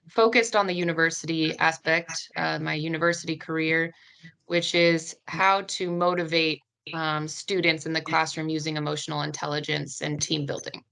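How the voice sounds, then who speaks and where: A woman's voice: low-pitched, steady tone, moderate pace, vocal fry, a bit bright. A woman who is a doctoral student and adjunct professor, introducing herself plainly on a webinar video call.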